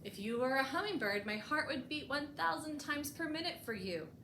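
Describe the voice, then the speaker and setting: A woman reading a picture book aloud for children as a storytime host, expressively and with deliberate emphasis, adding short explanatory asides. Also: high voice, lively intonation, pushed and bright. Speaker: librarian hosting a bedtime storytime. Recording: echoey room with clear background noise.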